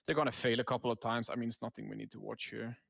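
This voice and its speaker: A man's voice: medium pitch, level tone, racing, gravelly, fairly bright tone, dry recording, studio quality. A man giving casual, laid-back gameplay commentary as a raid leader, explaining tactics as he goes.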